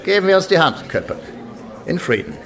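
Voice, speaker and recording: Jovial, condescending man, animated pitch. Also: a little fast. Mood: jovial, barbed. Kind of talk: radio drama acting. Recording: noisy party crowd behind.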